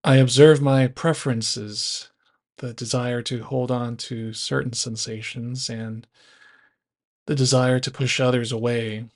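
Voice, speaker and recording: Man, guided meditation narration, unhurried pace, flat intonation, measured first-person instruction. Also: mid-range voice.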